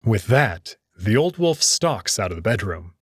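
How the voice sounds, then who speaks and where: A man's voice: fairly low pitch, sing-song, a little slow, breathy edge, no echo, studio quality. A man narrating a scripted story in the first person, in a dramatic reading style.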